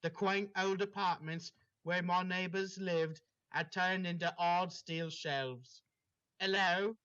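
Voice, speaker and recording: Guy with a high voice reading a story out loud to his friends, muffled lo-fi mic. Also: steady tone, takes it slowly, clear, resonant tone. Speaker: story reader.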